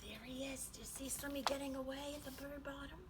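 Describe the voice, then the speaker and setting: A woman hosting children's storytime, reading a picture book aloud in an animated, sing-song manner. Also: high-pitched, a little fast, very noisy. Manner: animated, sing-song, with hushed asides to listeners.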